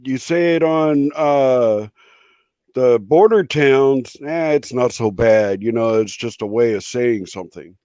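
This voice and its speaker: Guest chatter, male, unhurried delivery, slightly high voice, dry close sound. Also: no echo. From Southern California. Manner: rambling, relaxed storyteller. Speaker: guest in a stream chat. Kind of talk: casual storytelling chat.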